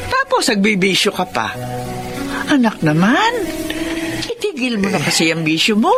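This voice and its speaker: A woman's voice: mid-range voice, wildly swooping pitch, normal speed, music in the background. A Filipino woman playing an older, caring mother who chides and advises her grown son in radio drama style. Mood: chiding. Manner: chiding, advising. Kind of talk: emotional radio drama acting.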